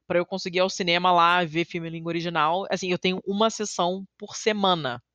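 Woman chatting, lively and swearing a bit, dry close sound, pitch jumps way up when she gets excited. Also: fast talker, dry recording. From Rio de Janeiro, Brazil. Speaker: podcast guest living in Italy. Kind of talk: casual conversation.

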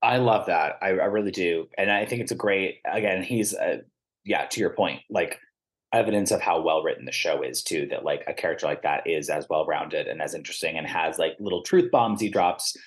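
Deep, husky man gushing on a podcast. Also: a little fast. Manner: gushing, animated, trailing off. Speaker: podcast co-host. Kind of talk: casual chat about a musical.

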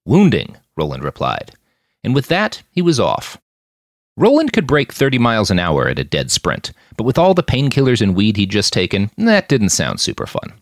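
Guy reading an action audiobook, kinda low voice, really swingy delivery, dry recording. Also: normal speed, no room sound. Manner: expressive, acting out dialogue. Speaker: fiction podcast author and narrator. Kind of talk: dramatic audiobook-style narration of military science fiction.

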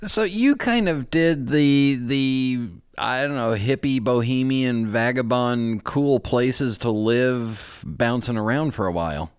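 A man's voice: mid-range voice, slow, hardly any pauses, clean tone, dry recording, studio quality. A man hosting a podcast interview in casual chat, joking and chuckling at his own quips. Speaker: podcast host.

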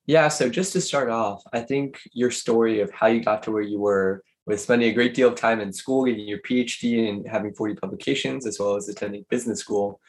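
Male podcast co-host, even pitch, unhurried and curious questioning. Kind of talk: interview questions.

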